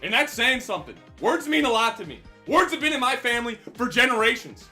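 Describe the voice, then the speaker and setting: A man plays an unprofessional therapist in a comedy sketch, high-voiced and bright. He is theatrical and mock-earnest, swooping into outrage, with music underneath. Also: expressive, fast, exaggerated voice. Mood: pompous. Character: absurd, unprofessional, easily outraged male therapist. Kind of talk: scripted comedy sketch acting.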